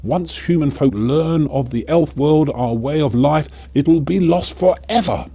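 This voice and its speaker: A man voicing alarmed, angry elves in a storybook reading, protesting loudly. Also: fairly high pitch, measured pace, slightly rough, voice pushed up.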